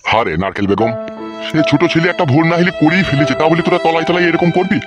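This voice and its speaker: A man's voice: a little high, lively intonation, fast, music in the background, natural voice. A man voicing a weary, indulgent old village father keeping the peace in a comic cartoon. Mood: scolding. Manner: peacekeeping, exasperated scolding.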